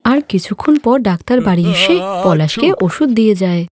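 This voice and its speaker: A woman's voice: medium pitch, expressive, brisk, a little mellow, no echo, clean studio sound. A woman narrating a scripted story as its storyteller.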